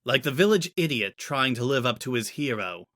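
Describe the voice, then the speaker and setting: A man reads as a commanding fantasy court wizard with a mid-pitched voice. He is formal and declamatory, with cutting contempt in how he addresses others. The sound is close and dry, like an audiobook. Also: lively intonation, moderate pace, pushed and bright, no echo. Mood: mocking, measured.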